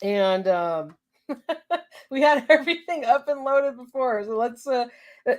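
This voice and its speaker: A woman's voice: high voice, lively intonation, slow. A woman hosting a live show in casual chat, a little congested from a cold, laughing easily as she reads out viewer names.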